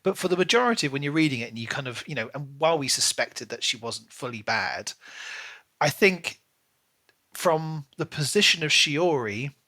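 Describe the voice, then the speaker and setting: Bright, forceful man talking books. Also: fairly high pitch, moderate pace. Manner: animated, dramatic, mock-voices characters' lines. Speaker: book podcast host. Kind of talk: casual opinionated book discussion.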